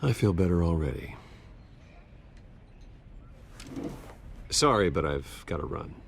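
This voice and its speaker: A man's voice: deep voice, lively intonation, a little fast, vocal fry, slight background noise. A man playing a wealthy, guarded billionaire with a secret vigilante life in a video game, speaking tersely and dryly. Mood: polite, evasive. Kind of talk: dramatic video game dialogue.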